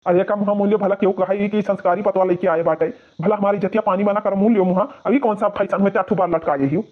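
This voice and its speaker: A man as a high-voiced, nagging Awadhi cartoon husband. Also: steady tone, quick pace, phone-quality sound, lightly acted. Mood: exasperated. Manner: nagging, pleading, grumbling. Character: nagging, exasperated young village husband, anxious about honour. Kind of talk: exaggerated cartoon comedy bickering.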